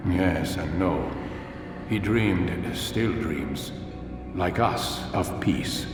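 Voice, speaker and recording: Wise older assassin master, low slow solemn voice, echoey hall with music behind. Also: male voice. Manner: solemn, measured, sermonizing. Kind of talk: video game voice acting. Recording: echoing hall, distant voice under music.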